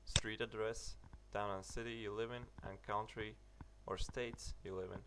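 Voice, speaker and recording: A young British man narrating a website walkthrough, halting with many fillers and restarts. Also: on the low side, fairly even intonation, heavy background noise. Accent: British English. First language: English. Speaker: tutorial narrator. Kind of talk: screen-recorded tutorial walkthrough.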